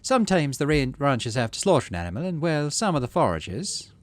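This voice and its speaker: A man voicing a kindly, practical aunt from a game, explaining things in a gently persuasive way. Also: fairly high pitch, big pitch swings, fast talker, no room sound, pitched above the performer's own voice. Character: kindly, practical aunt running a colony kitchen. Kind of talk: game dialogue read aloud.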